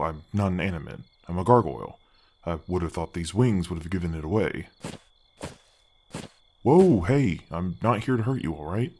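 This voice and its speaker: Guy doing a big friendly gargoyle, super deep fx voice, kinda halting and chatty, asmr fantasy roleplay. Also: darkish tone. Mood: reassuring. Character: gentle, helpful male gargoyle, ancient temple guardian. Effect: deep voice effect.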